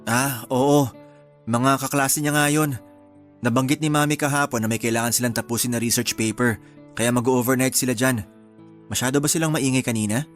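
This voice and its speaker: A laid-back young husband in a radio drama, voiced by a man. His mid-range voice is calm and easygoing, and he reassures his wife gently. Soft music plays faintly behind him. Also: mid-range voice, moderate pace, lightly acted.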